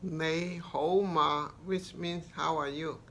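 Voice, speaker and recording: A woman's voice: deep voice, fairly even intonation, slow, a bit bright, a little hiss or hum. An older woman from rural China, a native Cantonese speaker with a Cantonese accent, teaching casually in a plain-spoken, hesitant, good-humored way. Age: 60s or older. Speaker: mother acting as language teacher. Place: small plain room indoors.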